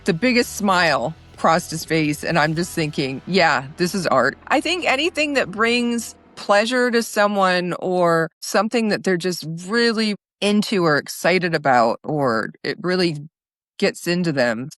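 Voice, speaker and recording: A woman's voice: fairly low pitch, expressive, measured pace, a bit bright, faint music behind. A woman podcast host chatting casually and telling anecdotes in a conversational interview.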